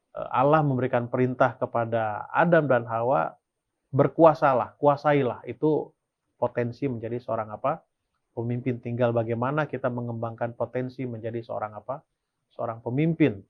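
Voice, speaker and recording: A man's voice: mid-range voice, highs cut off. A man teaching a Sabbath school lesson, reading passages aloud and then explaining them, often asking a question and answering it himself. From Indonesia. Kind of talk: Bible study lesson.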